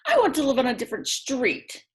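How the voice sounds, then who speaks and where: A woman plays an eager little boy from a storybook. His voice is lifted and lively, and he states what he wants in short, earnest, pleading bursts. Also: high voice, fairly quick, pitched above the performer's own voice. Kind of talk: children's read-aloud character voice.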